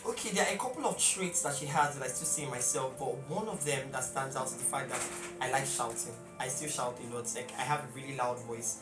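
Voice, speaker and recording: A man's voice: medium pitch, brisk, hardly any pauses. A young man with a Nigerian accent, earnestly recalling family memories in an interview. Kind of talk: reflective interview answers. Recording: roomy echo, distant mic, loud music behind.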